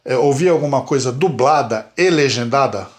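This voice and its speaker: A Brazilian man from Brazil co-hosting a casual podcast chat, animated and emphatic, often repeating words. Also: medium pitch, normal speed. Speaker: podcast co-host.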